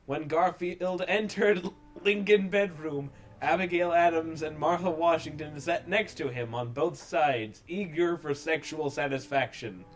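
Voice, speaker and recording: A man's voice: fairly high pitch, unhurried, few pauses. A man giving a mock-serious, theatrical comedic reading of a story as narrator. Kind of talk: dramatic comedic reading of fan fiction. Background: music.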